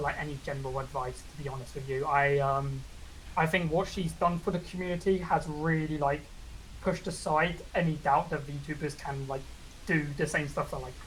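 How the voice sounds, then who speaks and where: A man's voice: a little high, fairly bright tone, a little hiss or hum, low-quality recording. A young British man with a regional accent, a VTuber guest chatting casually and rambling with lots of fillers. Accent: British, non-London regional. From Britain. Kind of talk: casual interview chat.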